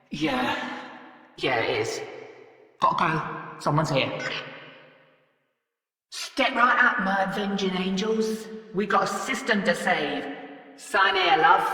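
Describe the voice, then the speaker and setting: A woman's voice: deep voice, bright, forceful tone, husky, big-room sound, distant mic, heavily acted. An actress playing a brash, streetwise female smuggler and commander in a video game, with a cocky, blunt, slangy delivery. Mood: exasperated, shouting, then brisk, rallying. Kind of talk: video game character dialogue.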